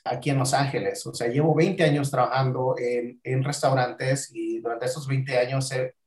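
A man's voice: medium pitch, steady tone, normal speed, darkish tone. Mexican man in an echoey room. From Acapulco, Mexico. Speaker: podcast guest. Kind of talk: personal storytelling in an interview.

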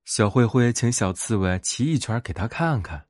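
A man narrating a bedtime fairy tale as a storyteller, in an expressive scripted read. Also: medium pitch, normal speed, no echo, clean studio sound.

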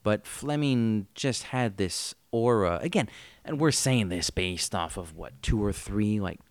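A lowish-voiced man co-hosting a podcast, chatty and opinionated, breaking in with quick reactions. The sound is dry and close to the mic, with no room echo. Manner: animated, opinionated, jumps in. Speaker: podcast co-host. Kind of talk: casual chat.

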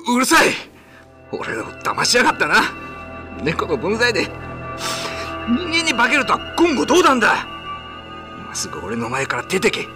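A man plays a betrayed young husband in a horror radio drama. He is accusing and scornful, with a highly animated pitch that leaps up, over clear background music. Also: moderate pace, pauses often. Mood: furious, horrified. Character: betrayed husband, young man, furious and disgusted by monster wife.

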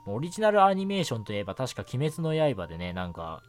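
A man's voice: medium pitch, big pitch swings, quick pace. A man hosting a casual solo radio show, chatting politely with many small filler particles. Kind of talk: casual solo radio talk about anime. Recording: music bed under the voice. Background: background music.

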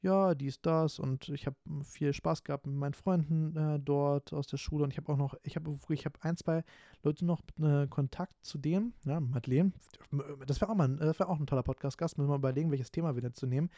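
Gravelly, dark-voiced young man rambling. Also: fairly high pitch, brisk, no echo, studio quality. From Berlin, Germany. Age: 20s. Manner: rambling, many fillers and restarts. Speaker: podcast host. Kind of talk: casual solo podcast talk.

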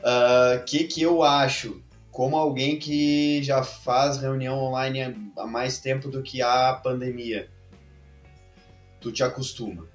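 A man co-hosting a podcast, speaking in a relaxed, conversational way with hesitant fillers. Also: mid-range voice, slow pace, faint music behind. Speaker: podcast co-host. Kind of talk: casual chat. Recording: roomy echoey room.

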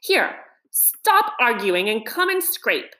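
Storybook rat, played by a woman: male, good-hearted, eager and easily worked up, with highly animated intonation. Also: exaggerated voice. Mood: exasperated. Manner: brisk, eager, exasperated when thwarted.

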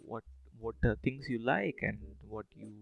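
Man, solo podcast host, informal rambling talk, gravelly fry, slightly dull muffled recording. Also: mid-range voice, lively intonation, normal speed.

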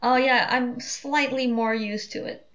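A woman's voice: on the high side, fairly even intonation, a little slow, fairly bright tone, highs cut off. A young woman adding brief playful remarks in a casual group chat.

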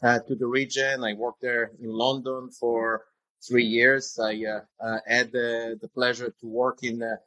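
A man's voice: mid-range voice, level tone, measured pace, sharp tone, no room sound, low-quality recording. A man in his forties from Israel, a tech executive answering interview questions with frequent fillers and restarts. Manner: hesitant, many fillers and restarts. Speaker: guest, tech company executive.

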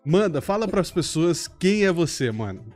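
A man's voice: fairly high pitch, very animated, normal speed, darkish tone, faint music behind. Upbeat man hosting a podcast. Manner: friendly, announcing plugs, playful. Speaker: podcast host.

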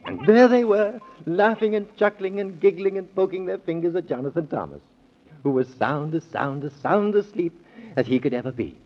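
An actor playing a gentle, playful father telling a bedtime story in 1930s radio style, indulgent and dramatic. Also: fairly high pitch, animated, moderate pace, a little hiss or hum. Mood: excited storytelling. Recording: dull old 1930s radio recording.

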